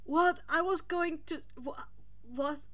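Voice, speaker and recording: A guarded, self-doubting teenage boy from an audiobook story, played by a woman. The delivery is slow, blunt and halting, with an awkward, muttering edge. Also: sits high, a bit bright, voice pushed up. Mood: baffled. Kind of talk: expressive audiobook character reading.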